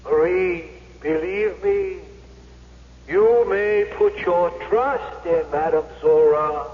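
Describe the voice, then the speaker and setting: An actor voicing a fake ghost of a dead husband, slow and solemn, in a vintage radio drama. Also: male voice, high-pitched. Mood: solemn, ghostly. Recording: muffled reverberant old radio recording.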